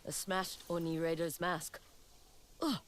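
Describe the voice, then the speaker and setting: A woman's voice: on the low side, fairly even intonation, slow, a little hiss or hum. A woman playing a blunt, hard-edged wandering warrior in a video game, speaking tersely. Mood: wary, blunt. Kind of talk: video game dramatic acting.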